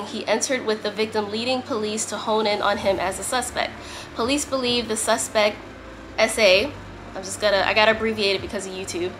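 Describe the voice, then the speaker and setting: A woman's voice: on the high side, background noise. A woman vlogger chatting casually and emphatically to camera, with a slightly strained, congested voice from a lingering cough. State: congested, getting over a cough. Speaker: vlogger, host. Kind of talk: casual sit-down chat to camera, some reading aloud.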